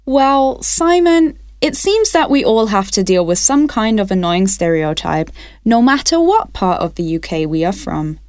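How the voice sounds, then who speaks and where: A woman from Wales hosts a radio phone-in with a measured, polite delivery. Her pitch moves up and down freely. The sound is close and dry, with no room around it. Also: very animated, darkish tone, dry recording. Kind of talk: scripted radio phone-in presenting.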